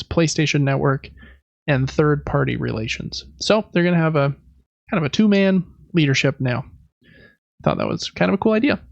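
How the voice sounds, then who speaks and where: A man's voice: on the high side, vocal fry, no room sound, muffled recording. A man hosting a podcast, casually recapping news in a conversational way with explanatory asides. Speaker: podcast host.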